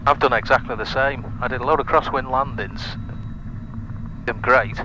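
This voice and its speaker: Mid-pitched man chatting over cockpit noise. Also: steady tone, moderate pace. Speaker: fellow pilot in the passenger seat. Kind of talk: casual chat. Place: small aircraft cockpit in flight. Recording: clear aircraft engine noise over intercom.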